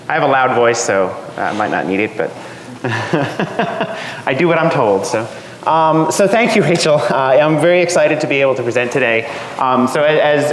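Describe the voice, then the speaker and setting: A man in his thirties presents an academic seminar in a relaxed, conversational way, sprinkling his sentences with ums and uhs. His voice sits at an ordinary pitch and rings through a roomy hall with a long reverb. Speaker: guest seminar speaker. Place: lecture room. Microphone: room microphone. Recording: echoey hall, faint noise.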